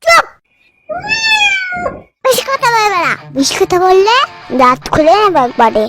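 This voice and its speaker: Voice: very high voice, sing-song, measured pace, background noise, exaggerated voice. A performer voicing a cheeky, bossy small child in a comic cartoon, scolding and teasing, with mispronounced words.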